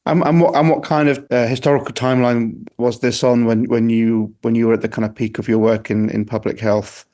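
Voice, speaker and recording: A man's voice: medium pitch, level tone, fairly quick, warm tone. A man hosts a podcast with eager energy. His questions are long and loose, and he often restarts partway through a sentence. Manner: enthusiastic, rambling questions. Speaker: podcast host. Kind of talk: podcast interview.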